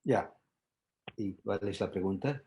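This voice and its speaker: A man's voice: on the low side, slow pace, dark tone. A man giving an explanatory, teacherly talk, often checking in with short rhetorical questions. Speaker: guest expert, psychotherapy trainer and author.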